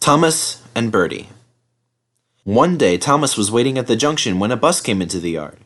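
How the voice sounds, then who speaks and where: A man's voice: medium pitch, animated, moderate pace. A man reading a children's story as scripted narration. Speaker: storybook reader.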